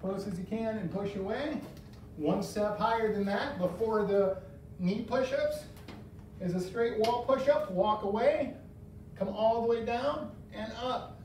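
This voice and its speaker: A man's voice: high-pitched, a little mellow, far from the mic, a little hiss or hum. A man in his thirties leading an adaptive fitness class, giving upbeat step-by-step cues and encouragement. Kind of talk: instructional exercise class. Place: large bare indoor room. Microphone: far camera. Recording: very echoey room, voice from afar.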